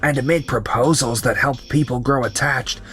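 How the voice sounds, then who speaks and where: A man's voice: mid-range voice, normal speed, fairly bright tone, close to an everyday voice. A man voicing young company men in a manga dub, with narration, over background music. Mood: earnest, explanatory. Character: betrayed young company founder, calm and resigned, and his coworkers. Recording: music under the voice.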